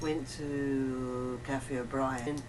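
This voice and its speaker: A woman's voice: a bass voice, level tone, slow pace. A woman chatting casually, emphatic and often checking that her listener agrees. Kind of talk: casual chat, conversational. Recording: clearly noisy background.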